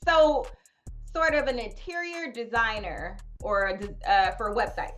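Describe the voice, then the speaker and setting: A woman hosting an interview show, playful and fond of wordplay, asking lively questions. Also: fairly high pitch, unhurried, faint music behind. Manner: bubbly, wordplay-loving, animated. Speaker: host.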